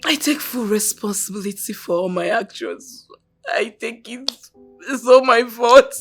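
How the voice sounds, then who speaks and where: Woman playing a crying mom, high voice, slow, keeps saying sorry, faint music behind. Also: slightly rough. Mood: remorseful. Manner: tearful, pleading, repeating apologies. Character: remorseful young mother, drug-troubled, pleading. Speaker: actor.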